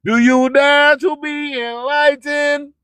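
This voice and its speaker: Slow, very high-pitched male radio host. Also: level tone, pushed and bright, dry recording, clean studio sound. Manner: emphatic, repeats phrases for effect. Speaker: online radio show host and announcer. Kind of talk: hype radio live-stream announcements with slogans and sponsor reads.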